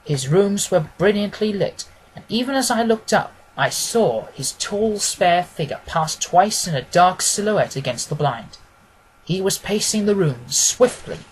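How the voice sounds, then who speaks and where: A man narrating a classic detective story as an audiobook, reading in a measured, formal, expressive way. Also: high-pitched, a little hiss or hum, rough recording.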